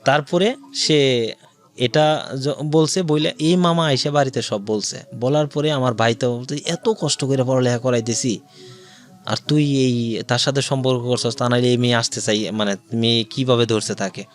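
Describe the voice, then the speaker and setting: A man's voice: mid-range voice, fairly even intonation, normal speed. A man with a Bangladeshi accent tells his own life story as an interview guest, in detail and with quoted dialogue.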